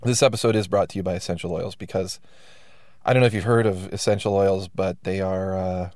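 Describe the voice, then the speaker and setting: A man with a lowish voice hosts his podcast in a loose, self-deprecating ramble, his nose stuffed up from allergies. He's close on a dry mic with no room sound. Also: steady tone, a little fast, a little mellow, no echo. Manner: wry, self-deprecating, many restarts and fillers. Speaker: podcast host. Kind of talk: casual rambling monologue.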